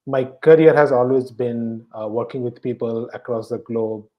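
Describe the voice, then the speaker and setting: A man with a Bengali Indian accent talks casually as an interview guest. He is a native Bengali speaker, and he feels his way through answers, pausing and repeating words. His voice sits at a medium pitch. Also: fairly even intonation, warm tone. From West Bengal, eastern India. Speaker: podcast guest, bank professional. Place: at home.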